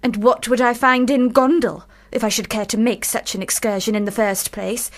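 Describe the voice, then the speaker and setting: A woman's voice: fairly high pitch, steady tone, normal speed, lightly acted. A woman playing an earnest, bossy elder sister in a radio drama, speaking formally and precisely with reproof. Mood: lofty, indignant.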